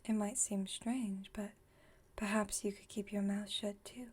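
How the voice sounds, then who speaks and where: A woman playing an aloof, wry vampire friend with a secretly caring side, in a soft, breathy ASMR roleplay, speaking in a dry, teasing, unhurried way. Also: mid-range voice, fairly even intonation. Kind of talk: ASMR roleplay, intimate monologue.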